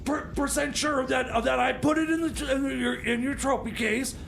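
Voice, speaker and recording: A flustered comedy inventor acted by a man grumbles and stammers through his thoughts. The voice is strikingly high and creaky, halting and muttery, with clear background noise. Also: fairly even intonation, a bit bright, full character voice. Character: cranky, flustered male tinkerer inventor, fussy patriot. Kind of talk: improvised radio comedy.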